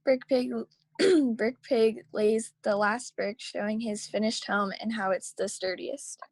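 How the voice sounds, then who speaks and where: A woman's voice: a little high, fairly even intonation, slow, a little mellow, no room sound. A woman reading scripted story action aloud at a table read over a video call. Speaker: reader of action lines. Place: remote video call, close dry sound.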